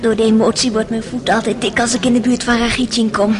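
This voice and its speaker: An actress playing a capricious, excitable young girl in a radio drama, impulsive and teasing. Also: on the high side, quick pace, crowd noise, lightly acted.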